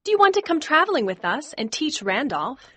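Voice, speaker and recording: A man's voice: brisk, no echo, full character voice. A man putting on a teasing young woman. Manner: teasing, high and animated. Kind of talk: audiobook character voice.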